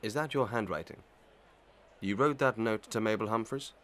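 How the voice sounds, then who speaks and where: An actor playing a stern, impartial magistrate in a Victorian melodrama, speaking in a formal, deliberate, official manner. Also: male voice, fairly low pitch, fairly bright tone, close to an everyday voice. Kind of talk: Victorian melodrama audio play acting.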